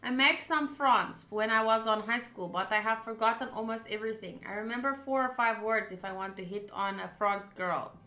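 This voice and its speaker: Bright-voiced woman streamer in a roomy space. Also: a little high, moderate pace. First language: Afrikaans. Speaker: streamer playing a visual novel. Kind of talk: casual livestream chat and reading game text aloud. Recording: roomy sound with music underneath. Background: game music.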